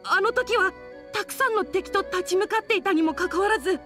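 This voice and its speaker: A woman voicing a timid, polite little yokai boy with halting speech in an anime game. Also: very high voice, a bit bright, faint music behind. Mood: shy admiration. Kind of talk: Japanese anime game voice acting.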